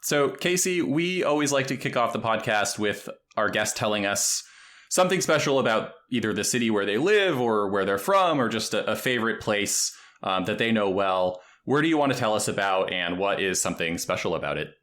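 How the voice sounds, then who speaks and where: A man hosting a policy podcast, reading a scripted intro and then chatting with guests in a measured way. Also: fairly low pitch, animated, a bit bright. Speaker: podcast host.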